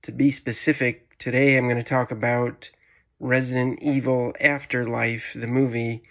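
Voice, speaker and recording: Young man, medium pitch, casual review. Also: level tone, normal speed. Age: young adult. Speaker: guest video reviewer. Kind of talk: casual movie review to camera. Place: small room at home, indoors.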